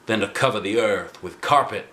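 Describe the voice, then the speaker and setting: A man voicing a muscular, pompous self-defense guru who solemnly spouts wise nonsense in a drawn-out delivery. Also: lowish voice, bright, forceful tone, reverberant.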